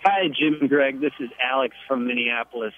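A man's voice: medium pitch, a little fast, narrow, tinny audio, slight background noise. A man in his twenties leaving an eager, slightly rambling voicemail as a caller. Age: 20s. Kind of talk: voicemail message.

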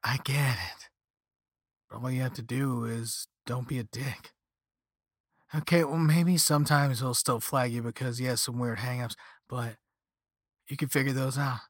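A man's voice: medium pitch, steady tone, measured pace, pauses often, dry recording, clean studio sound. A man voicing a flippant, easygoing young rich slacker in a story, with a casual slangy drawl and sarcastic half-apologies. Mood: smug, placating. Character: flippant, laid-back young rich slacker on a phone call.

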